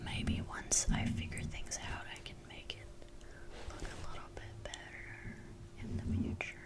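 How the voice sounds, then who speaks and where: A woman in her twenties from France, whispering a rambling, self-deprecating chat for ASMR, into a desktop microphone in a lamp-lit room at home. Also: a little slow. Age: 20s. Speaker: ASMR creator. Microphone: desktop USB microphone.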